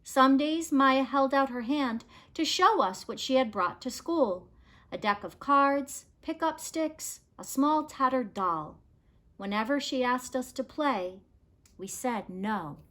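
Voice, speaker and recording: A woman reads a children's story aloud with a very bright, clear-cut tone. Her pitch sits fairly high, and she takes her time, shaping the phrases expressively like a storyteller with a picture book. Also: expressive, takes it slowly.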